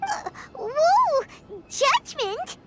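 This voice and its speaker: A woman voicing a small, perky, blunt fairy companion with a squeaky childlike voice in a video game. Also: big pitch swings, very slow, very noisy, exaggerated voice. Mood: startled. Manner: exclamatory, refers to self in third person. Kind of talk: video game character acting.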